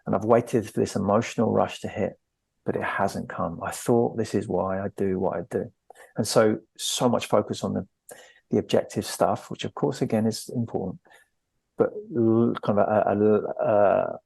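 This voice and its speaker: A man's voice: fairly low pitch, steady tone, normal speed, vocal fry, a little mellow, breathy edge, no echo. A man hosting a podcast, asking his guest long, searching questions that wander a little. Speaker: podcast host. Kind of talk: interviewing a guest.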